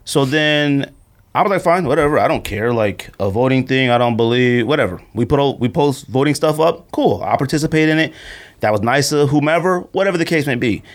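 A man's voice: mid-range voice, brisk. A man co-hosting a podcast, telling stories casually in slangy speech and acting out what people said. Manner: slangy, animated storytelling, acting out quotes. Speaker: podcast co-host. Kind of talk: casual podcast chat and storytelling.

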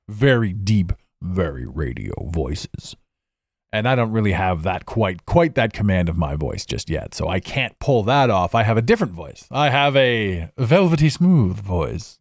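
A man's voice: fairly low pitch, dry recording. A man hosting a solo podcast from his home studio, rambling and reflective, with frequent restarts. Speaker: podcast host. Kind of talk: impromptu solo podcast monologue. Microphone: studio microphone.

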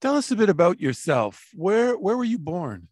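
An interviewer puts questions to a guest. The voice sits at a middle pitch and moves up and down a lot, giving it an animated delivery. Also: normal speed.

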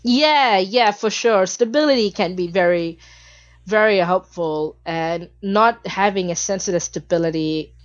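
A woman from Malaysia speaks as an interview guest, with a passionate, filler-heavy rush of words. Her voice sits at a middle pitch. The recording is dry and a little dull. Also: dry recording. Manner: passionate, talks fast with many "like" fillers. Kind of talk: casual conversational interview.

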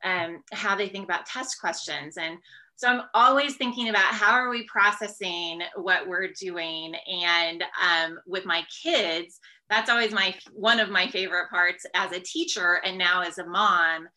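Bright-voiced woman explaining as a guest. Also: on the low side, a little slow, low-quality recording. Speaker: podcast guest, educator. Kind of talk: conversational interview.

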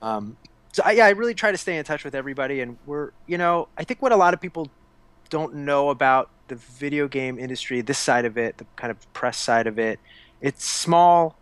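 A man's voice: medium pitch, lively intonation, fairly quick, clean tone, dry recording. A man in his forties, a games journalist guest, chatting casually and rambling through stories with lots of restarts and self-corrections. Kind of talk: casual podcast chat.

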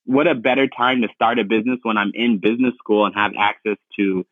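A young man from Ghana, a podcast guest, telling his story in relaxed casual talk with many fillers. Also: on the low side, fairly quick, dry recording. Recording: dry tinny phone-like line.

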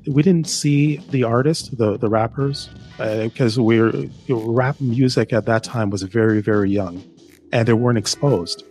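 A man's voice: mid-range voice, moderate pace, a little mellow, faint music behind. A middle-aged man from Jamaica, a guest author, telling stories enthusiastically in a casual interview. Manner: enthusiastic storyteller, some restarts, laughs.

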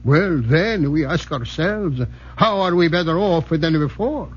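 Old italian farmer uncle, thick broken english, raspy and high, muffled 40s radio sound. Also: male voice, animated. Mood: pleading, puzzled. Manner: halting, deferential, broken English. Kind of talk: 1940s radio drama acting. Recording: dull vintage radio recording.

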